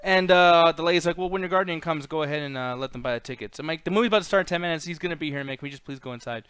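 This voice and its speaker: A man talks casually at a rapid clip, in a slightly high, clean voice with a close, dry sound. He rambles through profane anecdotes as a show co-host and acts out each person he quotes. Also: a bit bright, smooth, no room sound. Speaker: co-host of a movie discussion show. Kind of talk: casual chat, animated anecdote telling.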